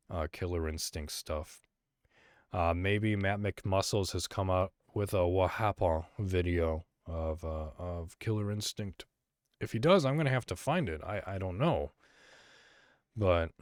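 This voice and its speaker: A man's voice: low voice, darkish tone, no room sound, clean studio sound. A man from Illinois hosting a solo podcast, talking casually and rambling with frequent fillers and restarts. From Illinois, USA. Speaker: podcast host.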